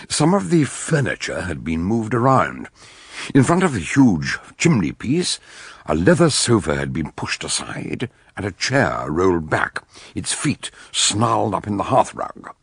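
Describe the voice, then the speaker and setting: An actor playing an older, eccentric scholarly detective in a radio drama, telling the story in a theatrical, deliberate way with a gravelly voice. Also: male voice, sits low, fairly bright tone, no room sound, heavily acted. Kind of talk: radio drama narration and dialogue.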